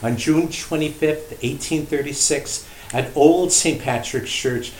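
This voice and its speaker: A man, a priest interviewed as a guest, telling history in an unscripted, measured and emphatic way, with pauses for effect. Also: on the high side. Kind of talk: unscripted storytelling in a radio interview. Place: indoor room at a shrine. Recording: roomy echo, faint background noise.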